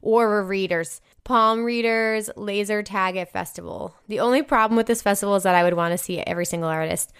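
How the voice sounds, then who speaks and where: A woman's voice: mid-range voice, fairly quick, few pauses, clean tone. A young woman with a New Jersey American accent, a podcast host reading listener emails aloud and reacting in an effusive, slangy, casual way with some swearing. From New Jersey, United States.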